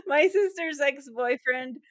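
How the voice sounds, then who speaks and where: Chatty woman who laughs a lot. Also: high-pitched. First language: English. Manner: chatty, laughs often, many fillers. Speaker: podcast host, interviewed guest. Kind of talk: casual interview conversation.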